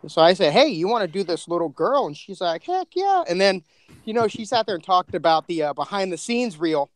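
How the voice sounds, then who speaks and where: Male podcast guest, highish voice, effusive slangy chatter, restarting phrases, dry recording. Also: big pitch swings, fairly quick, no room sound. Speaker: podcast co-creator and panel guest. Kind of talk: casual chat.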